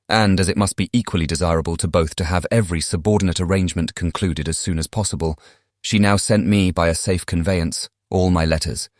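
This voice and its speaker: A man performs a young English gentleman from a classic novel audiobook, with a British accent and a low-pitched voice. He is contrite and impassioned, justifying himself in eloquent, formal period phrasing. The sound is dry, with no room around it. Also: no room sound, lightly acted. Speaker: audiobook cast reader. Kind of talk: dramatized audiobook reading of a letter in a classic novel.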